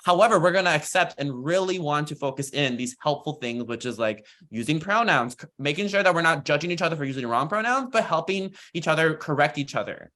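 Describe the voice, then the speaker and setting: Higher-voiced American man, earnest and informal. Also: lively intonation, fairly quick, hardly any pauses, bright, forceful tone. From United States, Florida and rural Texas. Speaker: workshop panelist. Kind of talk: informal panel talk. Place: online video call.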